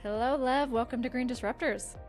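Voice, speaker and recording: A woman's voice: high-pitched, fairly quick, darkish tone, faint music behind. A woman hosting a podcast, asking questions in a conversational interview style. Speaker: podcast host.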